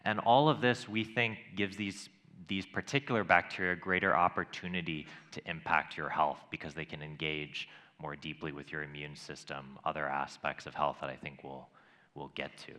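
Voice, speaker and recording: A man's voice: a bass voice, steady tone, normal speed, bright, forceful tone. A man, a microbiologist on a panel, explaining his research with many ums.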